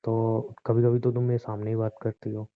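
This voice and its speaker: A man's voice: on the low side, completely flat delivery, moderate pace, natural voice. A man playing a bitter, threatening husband in a domestic argument drama, taunting and domineering as he speaks. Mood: threatening, goading. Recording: thin, muffled phone-call recording.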